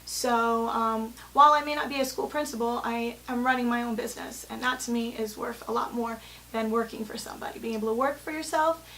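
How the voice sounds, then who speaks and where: A woman in her thirties speaks in a fairly high voice, telling her own story in an earnest, articulate way. She sounds like a teacher presenting a personal profile. A faint hiss sits behind her voice. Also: a little fast, pushed and bright, poor audio. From born in London, grew up in the Bahamas and England. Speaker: music teacher and company president. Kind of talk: spoken personal profile to camera.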